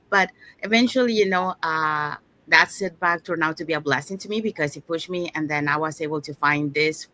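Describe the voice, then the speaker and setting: A woman's voice: low voice, bright, forceful tone. A woman from Panama, casually telling her own story as a livestream guest. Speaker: guest, digital business owner.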